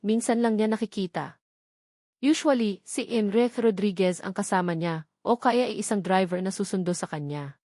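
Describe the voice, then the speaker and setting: A woman's voice: mid-range voice, a little mellow, no room sound, studio quality. A woman reading scripted, dramatic narration as the storyteller of a romance audio story.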